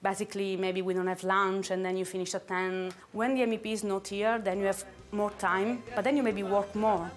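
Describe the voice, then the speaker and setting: A woman's voice: medium pitch, level tone, brisk, few pauses. A woman in her thirties with an Italian accent, a native Italian speaker answering interview questions in a cheerful, chatty way. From Italy. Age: 30s. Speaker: parliamentary assistant. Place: office building. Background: faint background music.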